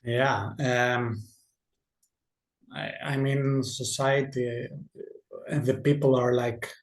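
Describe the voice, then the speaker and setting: A man with a Greek accent, speaking English as a second language at a middling pitch. He talks hesitantly, with lots of ums and restarts, and his voice carries a roomy echo from the space he calls in from. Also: steady tone, slow, a little hiss or hum. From Greece. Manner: hesitant, many fillers and restarts. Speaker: interview guest. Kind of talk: casual video-call interview.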